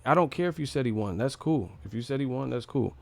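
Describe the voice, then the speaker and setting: A man's voice: mid-range voice, expressive, fast, vocal fry. A man hosting a casual livestream fight breakdown, blunt and opinionated, with slangy talk and plenty of swearing. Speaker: livestream host, boxing commentator.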